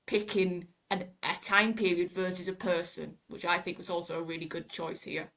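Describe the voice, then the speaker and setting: A woman's voice: on the low side, level tone, moderate pace, fairly bright tone. A woman in casual fan discussion as a podcast panellist, explaining her ideas in loose, conversational phrases.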